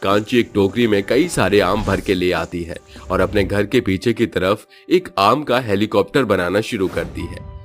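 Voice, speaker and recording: Low-voiced male story narrator. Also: moderate pace, soft background music. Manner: steady, dramatic storytelling.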